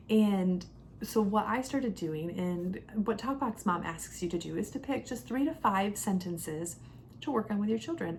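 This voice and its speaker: A woman's voice: medium pitch, creaky, a little hiss or hum. A young adult woman talking casually to camera in a product review, chatty and conversational, leaning on key words. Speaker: homeschooling mother and vlogger.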